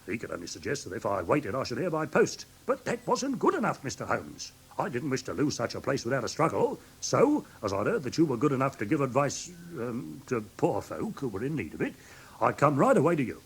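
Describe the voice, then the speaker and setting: Flustered husky English pawnbroker, lively pitch. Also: male voice, a little fast, exaggerated voice, low-quality recording. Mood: aggrieved. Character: indignant, simple-minded London pawnbroker, wronged and fussy. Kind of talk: classic British radio drama acting.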